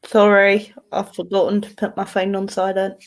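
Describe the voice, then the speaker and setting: A woman's voice: mid-range voice, monotone, normal speed. An English woman hosting a casual live stream, chatting with viewers by name and giving card readings. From England. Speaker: host and card reader.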